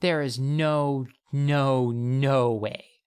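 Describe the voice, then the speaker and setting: A man voicing a feisty, excitable young girl with a wry, blunt, eager manner in an audiobook. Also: medium pitch, extremely slow, smooth. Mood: astonished.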